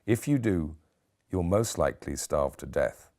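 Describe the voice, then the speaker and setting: Male actor as British history lecturer in his forties, low voice, theatrical, wry, rhetorical, audiobook character. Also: lively intonation, measured pace, a little mellow. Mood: ominous warning. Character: forty-three-year-old academic man lecturing, theatrical and wry.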